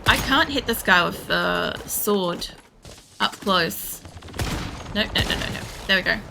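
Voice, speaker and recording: A woman streams a game over clearly noisy background ambience. Her mid-pitched voice has a lot of vocal fry, and she thinks aloud in casual, blunt, sweary commentary. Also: animated, unhurried. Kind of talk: casual live gameplay commentary, rambling chat.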